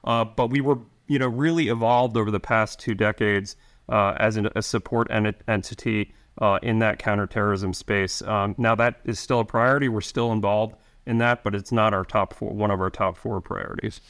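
Middle-aged male guest with a lowish voice; halting delivery full of restarts. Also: steady tone, a bit bright. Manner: halting, many restarts and fillers. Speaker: intelligence officer guest. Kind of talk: interview answers.

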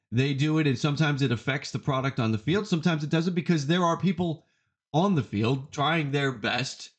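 Emphatic man hosting a sports podcast. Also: mid-range voice. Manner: emphatic, deliberate repetition for effect. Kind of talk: opinionated sports commentary podcast.

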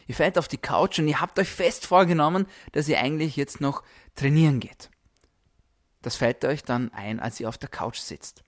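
Guy doing a motivational podcast solo, mid-pitched voice, emphatic, repeats stuff to drive it home. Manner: emphatic, rhetorical questions and repetition. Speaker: podcast host, health coach.

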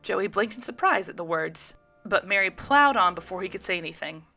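A woman reading a scripted story aloud as an expressive narrator. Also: medium pitch, fairly quick, creaky, faint music behind. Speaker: guest audiobook reader.

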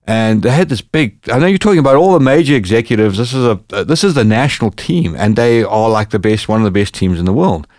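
Podcast guest, Zimbabwean man, low-ish voice, animated storyteller, dry room. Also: quick pace, hardly any pauses, no room sound. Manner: animated anecdotes, lively quoted dialogue. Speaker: podcast guest, former bodyguard. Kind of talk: casual storytelling.

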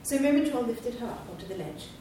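A woman reading a children's storybook aloud at a live event, in a steady, clear storytelling manner. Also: a little high, fast talker, background noise. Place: large hall at a live event. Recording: echoey hall from far off.

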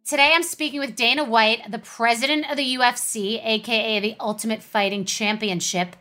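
A woman's voice: on the high side, steady tone, a little fast, bright, forceful tone, faint music behind. A middle-aged woman hosting an interview, introducing her guest and chatting eagerly with him. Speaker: podcast host. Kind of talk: casual interview.

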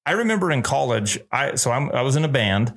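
A man's voice: medium pitch, big pitch swings, quick pace, a bit bright. A man hosting a casual interview, asking rambling questions and joking between them. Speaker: podcast host.

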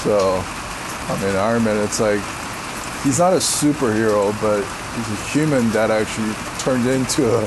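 A man from Korea with a deep voice, answering interview questions in a rambling, casual way with many fillers. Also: normal speed, noisy background. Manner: rambling, filler-heavy, laughs easily. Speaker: interview guest.

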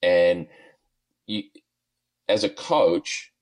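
A man's voice: sits low, animated, very slow, a little mellow. An Australian man, middle-aged, a golf coach talking easily as a podcast guest, with many ums and uhs. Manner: easygoing, lots of ums and uhs, chuckles often. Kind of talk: podcast interview, relaxed storytelling.

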